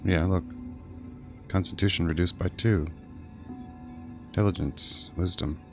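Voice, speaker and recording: Deep-voiced man, casual game streamer. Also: steady tone, a little slow. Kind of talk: casual game playthrough commentary. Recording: loud game music behind.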